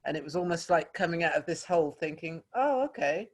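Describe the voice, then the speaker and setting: A man's voice: fairly high pitch, animated, fairly quick. A man from England, speaking reflectively in an oral history interview, with hesitations and restarts.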